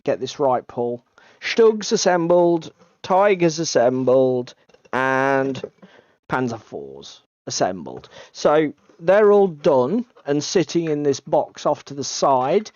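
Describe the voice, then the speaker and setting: A man talks casually as co-host, his voice gravelly and strained. He is chatty and self-deprecating and swears freely. The sound is dry and a little dull, like a lo-fi mic. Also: fairly high pitch, lively intonation, takes it slowly, a little mellow, no echo. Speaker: co-host of a tabletop hobby stream. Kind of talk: casual chat.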